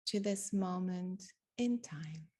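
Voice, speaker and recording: A woman leading a guided meditation, giving spoken instructions in deliberate short phrases with long pauses between them. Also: on the low side, darkish tone.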